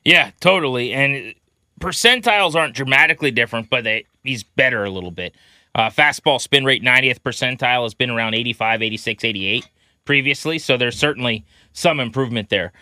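A man with a forward, bright voice talks as a sports podcast co-host. He is opinionated and conversational, in a loose, casual style. The sound is very dry, with no room around it. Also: mid-range voice, expressive, a little fast, dry recording. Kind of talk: casual baseball talk.

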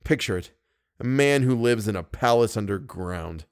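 A man's voice: fairly low pitch, lively intonation, moderate pace. Man acting a jealous, impetuous young lover. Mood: bitter, accusing. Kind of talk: audiobook character dialogue.

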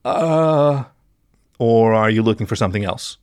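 A man's voice: on the low side, unhurried, a little mellow, no echo, studio quality, natural voice. A man voicing a nervous, tearful mole drug runner in a story, stammering and pleading. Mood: nervous, evasive. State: sobbing.

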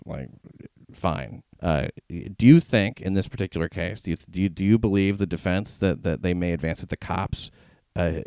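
A man's voice: low-pitched, a little mellow, no room sound. A New man hosting a casual chat, rambling and crude with lots of fillers. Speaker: podcast host.

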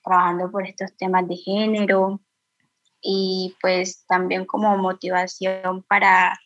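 A woman's voice: mid-range voice, completely flat delivery, a little slow, a bit bright, no room sound. Teen girl w colombian accent chatting in an interview, dry sound, bit muffled and lo-fi. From Quibdó, Chocó, Colombia. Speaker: student. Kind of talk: conversational interview. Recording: dry, slightly muffled lo-fi audio.

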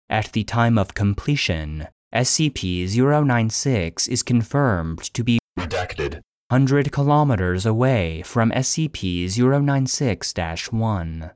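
A man's voice: mid-range voice, darkish tone, no echo, clean studio sound. A man voicing an impersonal facility database, reading classified logs in a formal, clinical manner. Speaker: database narrator reading an incident log. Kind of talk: scripted narration.

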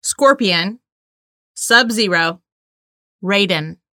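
A woman's voice: mid-range voice, very slow, pushed and bright, no echo, studio quality. A woman co-hosting a casual trivia game, chatting and bantering in a playful, giggly way. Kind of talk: casual bantering quiz chat.

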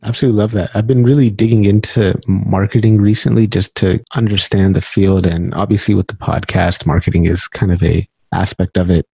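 A man's voice: on the low side, steady tone, fairly quick, vocal fry, few pauses, warm tone, slightly rough, dry recording. A man hosting a podcast, giving an upbeat scripted introduction and then interviewing a guest. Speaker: podcast host.

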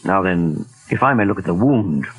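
An actor playing a calm, polite, inquisitive gentleman detective in a 1940s radio drama. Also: low voice, normal speed, husky, poor audio. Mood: probing, courteous. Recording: old 1940s radio recording.

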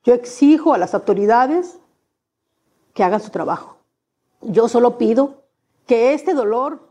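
Grieving mother, raw and insistent, mellow and fairly high, speaking off the cuff into a handheld mic. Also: expressive, moderate pace. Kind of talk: unscripted public speech. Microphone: handheld microphone.

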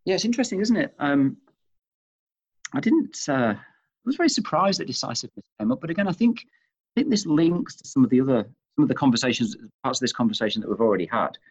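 A man's voice: medium pitch, very animated, fast talker, slightly rough, dull audio. A middle-aged man with a Manchester accent, head of an organization, answering interview questions on leadership and often repeating words as he works out his point. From England.

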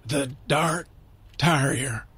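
A man voicing a dying old man, rough and pained, who speaks bluntly and haltingly in a told story. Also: on the high side, lively intonation, dry recording, voice pushed up, heavily acted. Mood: urgent, grave. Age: older. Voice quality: rough, pained, rasping, coughing.

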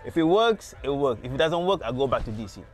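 A man talks at a rapid clip from his booth at an outdoor beach market. He rambles, restarts and repeats words, peppering his story with "you know," at a middle pitch. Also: very animated. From Senegal. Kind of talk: casual street interview.

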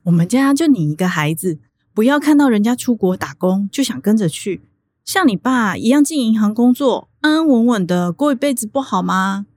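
An actress playing an anxious, doting middle-aged mother with a Taiwanese accent, fretful and expressive in a radio drama. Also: mid-range voice, normal speed, natural voice. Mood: worried, nagging.

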